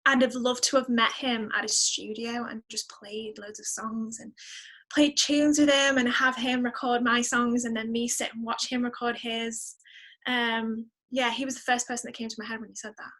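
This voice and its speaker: Woman, high bright voice, effusive, emphatic, casual podcast guest, repeats words for stress. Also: little pitch movement, moderate pace. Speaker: interview guest, musician. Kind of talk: casual podcast interview chat.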